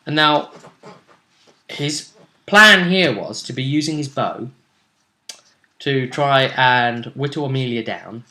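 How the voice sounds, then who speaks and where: Male game master, bright edge to the voice, chatty and rambling tabletop recap. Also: mid-range voice, animated, slow, long pauses. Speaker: game master and show host.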